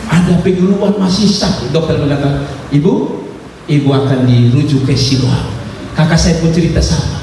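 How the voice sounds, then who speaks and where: A man preaching a sermon, telling a personal story and acting out the dialogue, moving between shouts and low asides. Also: normal speed, a little hiss or hum. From Indonesia. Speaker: pastor. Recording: echoey hall, voice from a distance.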